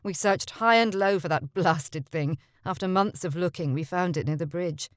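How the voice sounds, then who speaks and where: A woman voicing a young gentleman suitor in an audiobook, speaking calmly and softly. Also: fairly low pitch, normal speed, dry recording, studio quality, voice pushed down, natural voice. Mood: tender. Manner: calm, even, soft-spoken. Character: earnest young gentleman suitor, calm and tender. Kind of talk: audiobook character voice.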